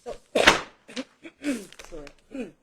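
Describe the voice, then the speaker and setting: A woman's voice: fairly high pitch, big pitch swings, extremely slow, very noisy. A woman casually walking a group through a painting demo in a studio, chatty and a little self-deprecating. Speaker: art instructor. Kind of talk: casual live demo teaching. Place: art studio room.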